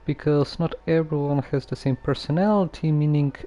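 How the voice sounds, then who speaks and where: A man giving casual game commentary as a streamer, musing in a halting, hesitant way. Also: on the high side, warm tone.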